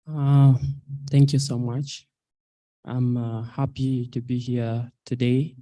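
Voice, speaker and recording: A man in his twenties from South Sudan, an activist speaking earnestly at a public talk, with halting delivery and many fillers. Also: mid-range voice, level tone, mellow, no room sound. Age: 20s. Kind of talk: personal testimony at a public talk.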